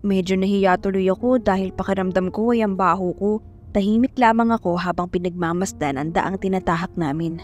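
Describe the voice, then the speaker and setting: A woman's voice: medium pitch, a little fast, soft background music, lightly acted. A woman playing a shy, smitten young woman narrating an audio drama, with a Filipino accent.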